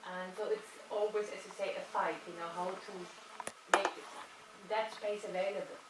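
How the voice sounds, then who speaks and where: A woman's voice: mid-range voice, fairly even intonation, normal speed. A woman moderating a conference session, thoughtful and full of filler sounds. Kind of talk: panel moderation. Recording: echoey hall, distant mic, loud noise.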